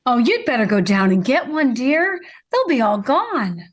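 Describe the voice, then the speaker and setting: An actress playing a gentle, practical, mildly doubtful 1950s mother in an old-time radio comedy. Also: high-pitched, very animated, measured pace. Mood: agreeable.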